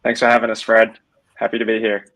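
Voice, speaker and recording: Australian guy on a webinar, kinda low voice, says um a lot, dry room. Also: monotone, fast talker, a bit bright, no echo. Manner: friendly, with frequent ums. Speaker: guest from a tech firm. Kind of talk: webinar interview.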